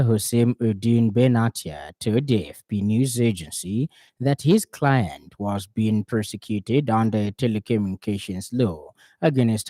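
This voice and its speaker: A man with a Zambian accent reading a scripted radio news bulletin in a formal, measured way. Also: on the low side, dark tone, no echo.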